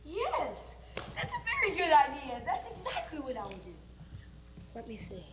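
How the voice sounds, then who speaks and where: A woman's voice: high voice, huge pitch swings, normal speed, a crowd in the background. A young woman in an amateur stage play, acting a pompous, giggly, pondering wizard. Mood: theatrical. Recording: echoey, noisy amateur stage recording.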